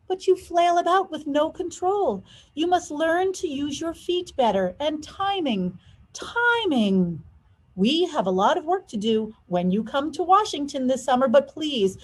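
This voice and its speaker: A storybook ballet master, acted out by a woman, exacting and stern. The commands come clipped and emphatic, pitched high for scolding. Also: darkish tone.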